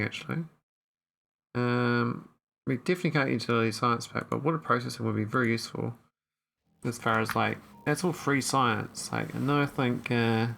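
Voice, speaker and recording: A man talks through his game in a loose, wandering way, trailing off into fillers as he works things out. His voice is gravelly and bright, and a faint hum sits behind it. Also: mid-range voice. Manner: thinking aloud, rambling with fillers. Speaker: gameplay streamer. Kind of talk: casual let's-play commentary.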